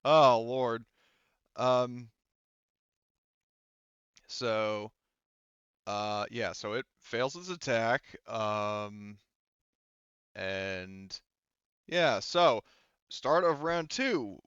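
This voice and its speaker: Male game master, unhurried and halting, thinks aloud through rules; smooth voice, slightly dull dry recording. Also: mid-range voice, lively intonation, fairly bright tone, dry recording. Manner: hesitant, thinking aloud while working out rules. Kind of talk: running a tabletop roleplaying game, casual.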